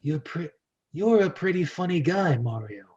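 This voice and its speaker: A man voicing a menacing gangster boss in a comic script reading, low, hushed and deliberate. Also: lively intonation, husky, muffled recording. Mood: quietly menacing. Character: menacing slow New York gangster boss, Big Eddie.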